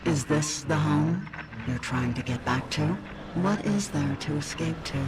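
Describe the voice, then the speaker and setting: A woman's voice: low-pitched, expressive, unhurried, vocal fry, very noisy, exaggerated voice. A woman playing an anxious but determined young woman in a video game mystery, with natural, hesitant, conversational delivery. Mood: uneasy, searching. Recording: loud music under the voice. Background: loud game music.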